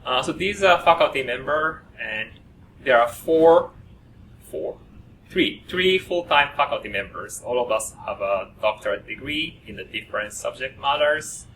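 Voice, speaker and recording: Man running a student orientation, stops and starts a lot, bright tone, kinda far from the mic. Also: medium pitch. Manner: halting, frequent uh fillers. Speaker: program coordinator at an orientation. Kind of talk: presenting to students. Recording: distant mic with faint noise.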